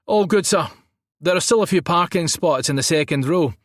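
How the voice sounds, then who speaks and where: A man's voice: a little high, normal speed, no echo, studio quality, voice pushed up, lightly acted. A man voicing a polite Scottish security guard in an audiobook, courteous and official.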